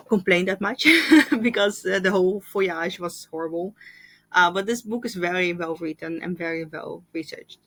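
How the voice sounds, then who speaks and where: A woman in her thirties with a fairly low voice chats through her year of reading. She talks thoughtfully, stopping often with small fillers and a quick laugh. The sound is close and dry, with no room echo. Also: fairly bright tone. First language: Russian. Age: 30s. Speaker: book vlogger. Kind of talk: casual reading wrap-up chat.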